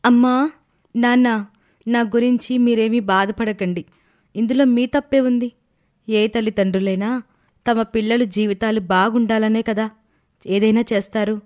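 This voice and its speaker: A woman's voice: a little high, fairly even intonation, measured pace, long pauses, darkish tone, natural voice. A woman acting a wronged young wife in a story, emotional, earnest and resolute. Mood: sad, earnest. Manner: earnest, protesting.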